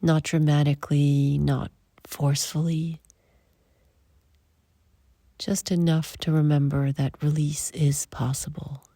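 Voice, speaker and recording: A woman leading a guided meditation in soft, breathy, short phrases with long pauses, often trailing toward a whisper. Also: low-pitched, little pitch movement, dry recording, studio quality.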